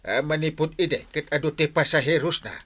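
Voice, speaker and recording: A man with an Ilocano accent acting an excitable, suspicious middle-aged village captain in a comedy radio drama, exclaiming and sputtering. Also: on the high side, moderate pace. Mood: wary, fussing. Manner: exclaiming, sputtering, swooping between grumbles and yelps.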